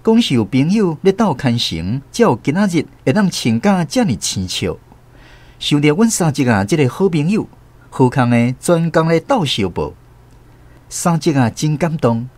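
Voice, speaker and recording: A man's voice: a little high, sing-song, normal speed, darkish tone, dry recording. A man with a Taiwanese accent playing a storyteller who recalls his village childhood in a radio drama. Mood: reminiscent, animated storytelling.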